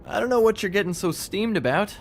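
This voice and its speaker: An actor playing a glib, smug pawnshop clerk in a video game, flippant and sarcastic. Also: male voice, high-pitched, expressive, fairly quick, slight background noise. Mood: flippant, dismissive.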